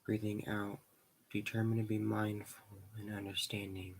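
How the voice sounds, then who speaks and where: A man leading a guided meditation in slow, measured short phrases with pauses between them. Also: lowish voice, level tone, gravelly, slight background noise, poor audio.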